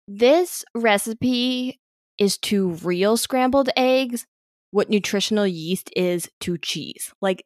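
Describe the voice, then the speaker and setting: Young woman co-host, rambling and hedging, mid-pitched, dry close sound. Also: no room sound. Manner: rambling, thinks aloud with many hedges. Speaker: podcast co-host. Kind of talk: casual chat.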